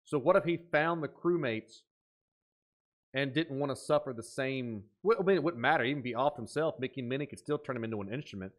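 A man in his thirties giving off-the-cuff reaction commentary, thinking aloud in an excitable but musing way. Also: mid-range voice, quick pace. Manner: excitable, exclaiming, dropping to musing asides. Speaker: host of a reaction video. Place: small indoor room at a desk.

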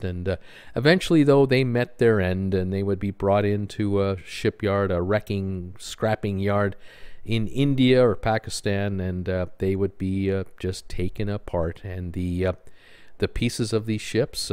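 An older man with a low voice talks through photos of old cruise ships in an easygoing vlog style. He rambles with plenty of fillers and sounds wistful. The recording is close and dry. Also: a little mellow, smooth, no room sound. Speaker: cruise vlogger. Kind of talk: casual narration over photos.

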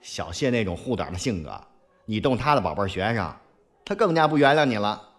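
A man's voice: fairly low pitch, very animated, fairly quick, fairly bright tone, slight background noise. A man playing a loyal, anxious underling of a boss in an audio drama, deferential and fretful. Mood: nervous warning. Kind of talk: audio drama acting in Mandarin.

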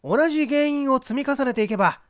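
A master detective voiced by a man speaks with courteous authority in a mystery audiobook. The voice is high and animated, laying out each instruction emphatically and precisely. The recording is very dry. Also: a little fast, dry recording. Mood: assured. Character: brilliant male police detective, confident, polite, commanding. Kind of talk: literary audiobook character dialogue.

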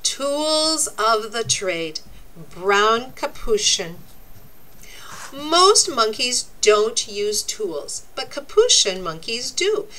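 A woman reading a children's nonfiction book aloud, with deliberate, expressive, teacherly phrasing. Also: on the high side, a little hiss or hum, low-quality recording. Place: indoors, book laid open before a camera.

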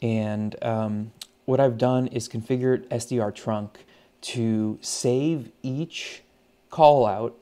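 A man's voice: medium pitch, fairly even intonation, slow pace, dark tone. A man giving a casual, unscripted tech demo in a conversational, hesitant way, as a hobbyist presenter at a desk at home. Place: small indoor home desk setup.

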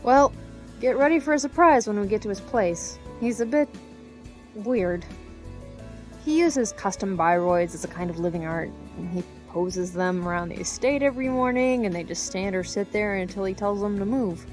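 A woman's voice: medium pitch, expressive, normal speed, darkish tone, soft background music, lightly acted. A woman playing a candid, chatty young graduate student in an audio drama. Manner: conversational, candid.

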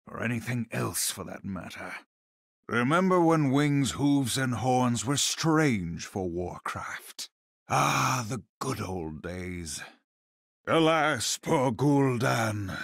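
A man voicing a brooding, arrogant ancient demon hunter for a video game, with a gravelly voice and a haughty, dry, theatrical delivery. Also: mid-range voice, a bit bright, dry recording, full character voice. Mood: dry sarcasm, then mock wistful. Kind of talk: video game character voice lines.

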